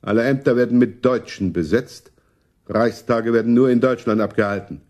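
A man playing a scheming, self-satisfied older prince in a radio drama, with a husky voice. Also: mid-range voice, normal speed, a little mellow, lightly acted. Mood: firm, commanding. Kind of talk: German radio drama acting.

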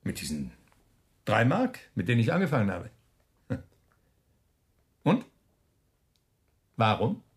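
Menacing SS officer, radio play, mocking, highly animated intonation, mid-pitched, smug, drawn-out taunts. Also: male voice. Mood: boastful, contemptuous. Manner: mocking, chuckling, drawn-out taunting questions and hesitant quoting. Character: smug, menacing male SS officer toying with a prisoner. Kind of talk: German radio play acting.

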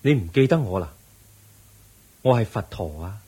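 An actor playing a serene, wise Buddha in a radio drama, speaking in a solemn, instructive way with a Hong Kong Cantonese accent. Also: male voice, fairly low pitch, sing-song, normal speed, warm tone, breathy edge. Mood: gentle, calm. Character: serene, wise male Buddha, compassionate and firm.

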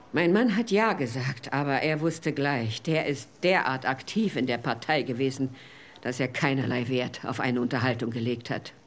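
A woman's voice: rumbling low, slight background noise. A woman playing an older witness who plainly and painfully recalls a return visit in a documentary radio play. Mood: reminiscing.

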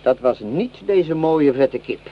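A man's voice: on the high side, animated, slow pace. A man acting a cheeky, grumbling common soldier in a radio drama, with a wry, colloquial manner. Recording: dull, faintly noisy old radio recording.

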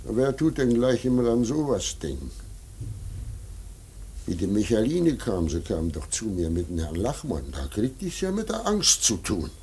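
A man's voice: on the low side, big pitch swings, moderate pace, a little mellow, a little hiss or hum. An actor playing a deferential, troubled working man in a German radio play, talking in a plain, hesitant, colloquial way. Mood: uneasy.

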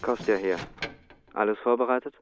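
An actor playing a curt, businesslike hired killer giving instructions in a radio drama. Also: male voice, fairly low pitch, fairly even intonation, moderate pace, background noise, lightly acted. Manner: curt, matter-of-fact.

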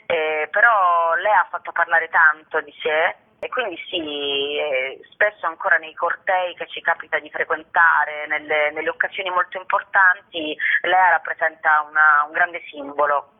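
A woman's voice: deep voice, steady tone, moderate pace. A woman speaking conversationally as an actress being interviewed on the radio. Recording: narrow phone-line sound on radio.

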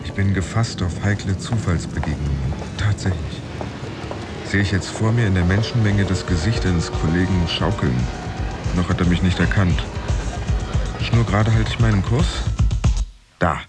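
A man acting an anxious, proud pretend office worker, narrating his thoughts tensely and deliberately in a radio play. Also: sits low, over loud music, lightly acted. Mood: guarded, resolute. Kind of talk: interior monologue in a radio play.

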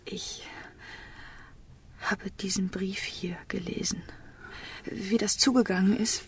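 An actress playing a tender, devoted young woman in love, speaking in an earnest, hesitant, breathy whisper in a radio drama. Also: medium pitch, steady tone, slow, recorded from a distance, heavily acted. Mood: hushed, confessing. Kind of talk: German radio drama acting.

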